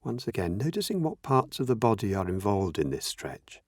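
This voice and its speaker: A man leading a guided meditation as a teacher, speaking gently in measured, unhurried phrases with long pauses. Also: fairly low pitch, very animated, dry recording, studio quality. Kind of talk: guided meditation, slow spoken instructions.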